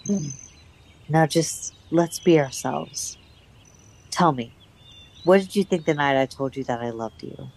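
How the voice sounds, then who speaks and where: A woman playing a bold, secretive young rebel lover in a radio play, brisk and teasing. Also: low-pitched, expressive, darkish tone, heavily acted. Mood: teasing, intimate.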